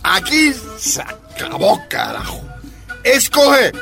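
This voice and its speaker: An actor playing an angry, jealous, scornful Bolivian husband in a radio drama, confrontational and swearing. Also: male voice, high voice, huge pitch swings, takes it slowly, vocal fry, pushed and bright, faint music behind, full character voice.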